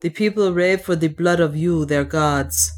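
A woman reading an audiobook, voicing an honest male soldier who speaks gravely and formally with sorrow. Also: on the low side, a little slow, natural voice.

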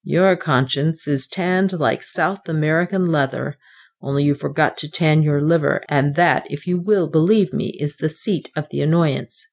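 A woman voicing a rough, mocking man who taunts a sick old sinner. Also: a little high, normal speed. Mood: taunting.

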